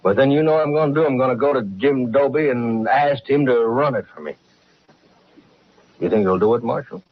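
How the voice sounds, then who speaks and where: An actor playing a gruff, humbled cattleman with a folksy, halting, plain-spoken manner in a 1950s Western radio drama. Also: medium pitch, no room sound, close to an everyday voice. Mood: resolved. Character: gruff, humbled cattleman turned hotel owner. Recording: muffled vintage radio recording.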